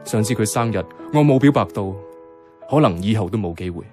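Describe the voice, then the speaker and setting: A man's voice: fairly low pitch, expressive, a little fast, darkish tone, soft background music, close to an everyday voice. An actor with a Hong Kong Cantonese accent playing a pensive, regretful young man in a radio drama monologue.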